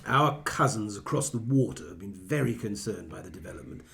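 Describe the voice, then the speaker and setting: A man's voice: lowish voice, expressive, a little fast, vocal fry, a bit bright, exaggerated voice. A man playing a jovial, patronising British Prime Minister chairing a meeting in a satirical radio play, with a British accent. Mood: smooth, self-satisfied.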